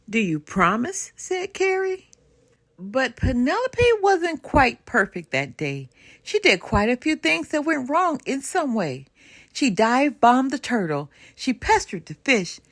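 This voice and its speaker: An older woman reading a children's storybook aloud in an expressive, sing-song way. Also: on the high side, no echo. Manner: animated, unhurried sing-song rhyming delivery. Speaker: storybook reader.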